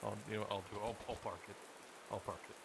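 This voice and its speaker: A man chatting casually in an online game, halting and repeating himself. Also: lowish voice, steady tone, gravelly. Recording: very noisy, rain and clicks.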